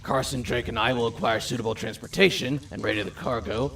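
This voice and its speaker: A man voicing a businesslike, commanding gang leader laying out a plan deliberately in an audio drama. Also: medium pitch, steady tone, creaky, a bit bright. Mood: conspiratorial. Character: plotting underworld gang leader, curt and businesslike. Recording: distant voice, faint ambient noise.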